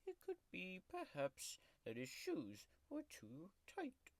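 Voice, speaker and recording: A woman's voice: on the low side, wildly swooping pitch, takes it slowly, dry recording, exaggerated voice. A woman voicing a grumpy, foul-mouthed male tiger-like creature who whispers and mocks while reading a bedtime story as comedic ASMR. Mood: mocking storytelling. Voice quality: whispery, crude and mocking.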